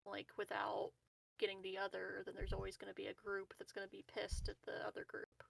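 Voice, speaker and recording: A woman's voice: medium pitch, completely flat delivery, fairly quick, vocal fry, husky, dry recording, poor audio. A woman playing a tabletop game, chatting casually with the group.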